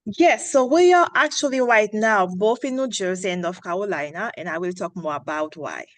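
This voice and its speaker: A woman's voice: mid-range voice, moderate pace, no room sound. A woman in her thirties from Haiti, a founder telling her story earnestly on a video call, a little under the weather. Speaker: founder pitching her coffee brand. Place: small indoor room.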